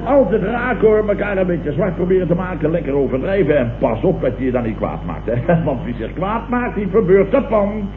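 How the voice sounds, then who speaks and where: A man's voice: high voice, sing-song, a little fast, few pauses. An actor playing a vain, pompous middle-aged businessman who blusters and repeats himself in an old radio comedy. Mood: defensive, jovial. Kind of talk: 1970s Dutch radio comedy acting. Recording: old tinny, noisy, echoey radio recording.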